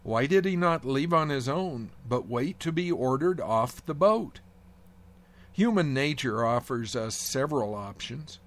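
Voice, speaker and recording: Slow, measured man reading a devotional. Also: mid-range voice, lively intonation. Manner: measured, reflective, gently wry. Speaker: devotional speaker. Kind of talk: reading a prepared devotional essay.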